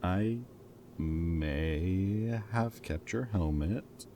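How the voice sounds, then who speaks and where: Ex-villain for roleplay audio drama, male actor: extremely low pitch, casual wry banter, protective friend with a teasing streak. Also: extremely slow, dark tone, faint music behind, exaggerated voice. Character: teasing, caring male ex-villain planning with old hero friend.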